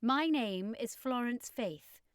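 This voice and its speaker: An elegant female siren of an audiobook fantasy, played by a woman narrator. She speaks posh, refined English in a measured way, with a wistful temper. The sound is dry and close. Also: a little high, animated, no room sound, voice pushed up. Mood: wistful, candid.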